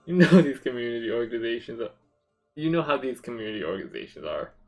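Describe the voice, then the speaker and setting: A man's voice: mid-range voice, lively intonation, fast, vocal fry, a little mellow, soft background music. A man reading game dialogue aloud as a wry, chatty quest-giver from a fantasy town.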